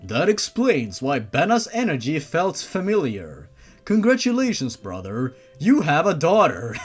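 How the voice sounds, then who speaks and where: Monster father, read by a narrator: formal, measured, quietly amused, story reading with music behind. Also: medium pitch, huge pitch swings, breathy edge, full character voice.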